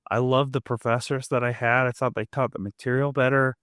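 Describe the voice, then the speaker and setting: A man hosting a podcast, hoarse and losing his voice, chatting upbeat and laughing at himself. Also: mid-range voice, little pitch movement, a little fast, fairly bright tone, no echo, studio quality. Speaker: podcast host. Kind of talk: casual podcast chat.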